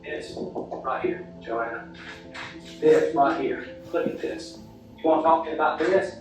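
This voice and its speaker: A man's voice: fairly high pitch, unhurried, distant mic, music in the background. A man questioning a suspect in an interrogation, pressing and confrontational. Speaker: police interrogator. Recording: echoey interrogation room from afar.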